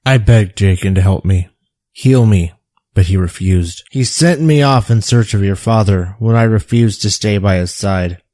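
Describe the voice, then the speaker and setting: A tormented man, played by an actor, confesses his past in an audio drama. His voice is fairly low and dark, and his delivery is halting and reflective. The sound is very dry. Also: dry recording, natural voice. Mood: haunted, regretful. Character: tormented male shepherd recounting a painful past.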